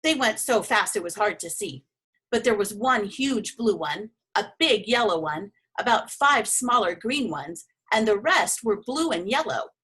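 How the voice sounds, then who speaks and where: A woman voicing an excited, boastful male explorer in a children's storybook, telling of his find with eager, emphatic exclamations. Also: medium pitch, unhurried, husky, exaggerated voice, rough recording. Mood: excited, proud.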